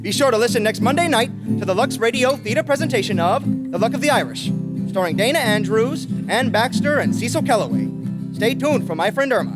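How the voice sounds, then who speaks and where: Vintage radio theater host played by a man: high-pitched, formal, genial, scripted, over loud music. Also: lively intonation, fairly quick. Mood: solemn warmth. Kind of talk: 1940s radio announcing, scripted.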